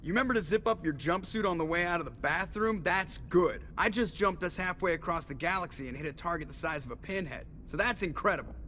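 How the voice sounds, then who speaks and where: A man's voice: high voice, quick pace, few pauses, sharp tone, slight background noise. An actor playing a sarcastic, cocky and suspicious male spaceship pilot in a video game cutscene. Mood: sardonic. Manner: sardonic, wisecracking.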